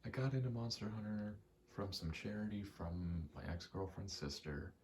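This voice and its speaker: A man giving a rambling ASMR gaming commentary, halting and meandering as he thinks aloud while playing. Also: on the low side, a little mellow, slight background noise. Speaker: gaming video creator.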